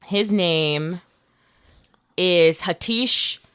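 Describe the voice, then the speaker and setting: A woman with a slightly low voice hosts a podcast in loose, chatty storytelling. She is informal and animated when quoting people, recorded close and dry. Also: very slow, clean tone, no echo. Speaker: podcast host. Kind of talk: casual conversational storytelling.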